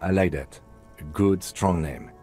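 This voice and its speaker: A man playing an earnest, reserved police lieutenant in a video game, with measured, polite, deadpan delivery. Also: sits low, over music. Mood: pleased. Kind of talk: video game dialogue acting.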